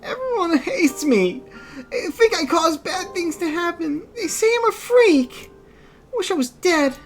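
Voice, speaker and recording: A woman's voice: sits high, very animated, normal speed, darkish tone, pitched above the performer's own voice. A woman voicing a hopeless, bullied orphan girl who speaks tearfully, over faint music. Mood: despairing. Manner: tearful, pleading.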